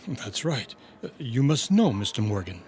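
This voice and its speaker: An actor playing a nervous hotel concierge in a radio drama, hesitant, polite and eager to defer. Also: male voice, medium pitch, big pitch swings, normal speed, a little mellow, lightly acted. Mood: flustered, deferential.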